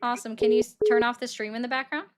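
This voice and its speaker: A woman hosting a political call-in livestream, brisk and sardonic, often cutting callers off. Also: a little high, level tone. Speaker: livestream host taking calls.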